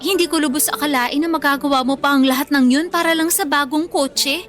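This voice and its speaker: Casting: defiant wronged schoolgirl, Filipino accent, high voice, mocking and confrontational, radio melodrama. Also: fairly even intonation, a little fast, fairly bright tone. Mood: scornful, righteous. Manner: taunting, confrontational, emphatic. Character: wronged young female student, vengeful, scornful and defiant. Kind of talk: Filipino radio drama acting.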